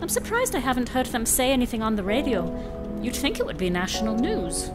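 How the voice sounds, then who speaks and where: A woman's voice: fairly high pitch, big pitch swings, a little fast, over loud music. An actress playing a chatty, tender-hearted woman on a camping road trip in a radio drama. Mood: surprised.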